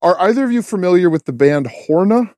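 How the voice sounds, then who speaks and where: A male podcast host with a dark, mellow voice talks at length and gives blunt opinions. His sound is dry and close. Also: high-pitched, animated, brisk, no echo. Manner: opinionated, talkative. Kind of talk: casual podcast chat.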